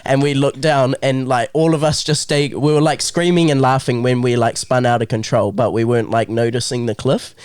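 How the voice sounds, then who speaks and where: A man's voice: medium pitch, fairly even intonation, brisk, hardly any pauses, a little mellow, clean tone, no echo. A young man from New Zealand, a podcast co-host, chatting in a laid-back way that is full of fillers. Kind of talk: casual chat.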